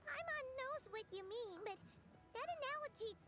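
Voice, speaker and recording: A woman's voice: extremely high pitch, lively intonation, normal speed, full character voice. A woman voicing a tiny, cheeky female fairy companion who talks about herself by name. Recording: game audio over loud music.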